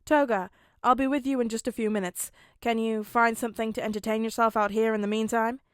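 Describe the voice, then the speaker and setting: A woman's voice: on the high side, fairly even intonation, fairly quick, no room sound, natural voice. An easygoing underworld arms dealer man, read by a woman in a story. He talks in a wry, casual way. The sound is close and dry. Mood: genial.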